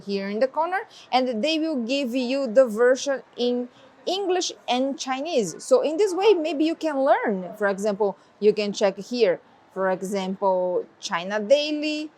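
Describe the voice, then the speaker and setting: A woman's voice: high-pitched, measured pace, slight background noise. A woman whose first language is Portuguese, casually demonstrating a tablet's features step by step. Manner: relaxed, explaining step by step, non-native phrasing. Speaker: tech reviewer. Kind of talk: casual product demo.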